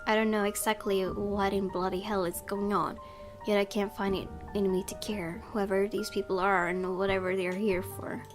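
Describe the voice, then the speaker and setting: A woman's voice: mid-range voice, flat delivery, moderate pace. A woman gaming host, reading a visual novel aloud expressively and adding her own casual commentary. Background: game music.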